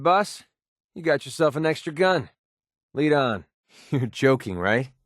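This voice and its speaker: An actor playing a cynical, wisecracking young mercenary for a video game, with an American accent and a streetwise, informal delivery. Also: male voice, fairly low pitch, no room sound, clean studio sound, lightly acted. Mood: cocky, guarded. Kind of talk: video game companion dialogue.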